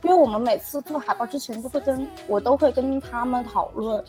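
A woman's voice: a little high, a little fast, warm tone. A woman guest giving casual interview answers, often hesitating as she searches for words. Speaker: poster artist for an audio drama, as guest. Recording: faint music behind the voice. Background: background music.

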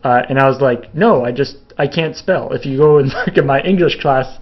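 A man's voice: medium pitch, moderate pace. Rambling young man, slightly muffled audio. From Canada. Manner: rambling, many fillers and restarts, laughs a little. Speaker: video host answering viewer questions. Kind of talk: casual live Q&A chat.

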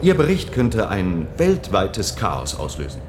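An actor playing a protective, earnest helper in the underground in a German science-fiction radio drama, speaking with solicitous urgency. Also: male voice, fairly low pitch, wildly swooping pitch, measured pace, background noise. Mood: grave, concerned.

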